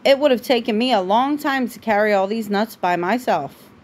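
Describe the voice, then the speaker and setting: High-voiced grateful squirrel read by a woman. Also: moderate pace, a little mellow, lightly acted. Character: grateful, admiring female squirrel who loves nuts.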